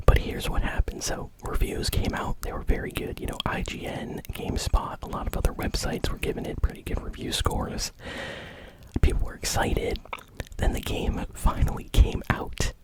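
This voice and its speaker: A host whispering casual, relaxed video game news in a breathy, unvoiced ASMR style. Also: poor audio. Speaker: host of gaming news show.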